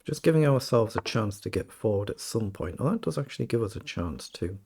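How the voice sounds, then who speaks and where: A British man with a slightly croaky voice, casually commentating his own chess game and thinking aloud. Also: lowish voice, animated, fairly quick, a little mellow, a little hiss or hum. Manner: thinking aloud, self-questioning. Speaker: chess streamer.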